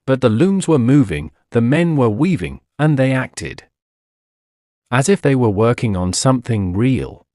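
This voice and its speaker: A male audiobook narrator tells a story for language learners at an unhurried pace. His delivery is expressive, with lively rises and falls in pitch. The sound is dry and close, with each phrase clearly set out. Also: no echo.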